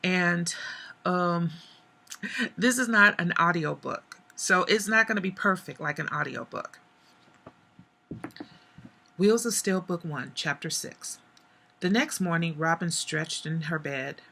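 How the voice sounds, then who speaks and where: A hoarse woman reads from her own novel in a chatty, unpolished way, pausing between phrases. Her voice is gravelly and husky, with a bright edge. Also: on the low side. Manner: chatty, with pauses and fillers. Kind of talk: casual book reading.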